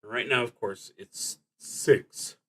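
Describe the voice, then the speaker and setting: A man plays a solo roleplaying game on stream at a slow, unhurried pace. His voice sits at an average male pitch. He thinks aloud and reads out cards, often halting or trailing off as he works through the rules. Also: sharp tone, slightly rough. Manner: halting, trailing off while working things out.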